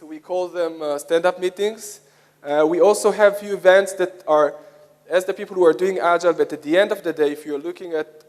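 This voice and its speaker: A man with an Israeli accent giving a conference presentation, speaking haltingly with frequent hesitations and repeated phrases. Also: sits high. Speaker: conference speaker, software product lead. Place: conference hall, speaking to an audience. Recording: echoey hall with long reverb.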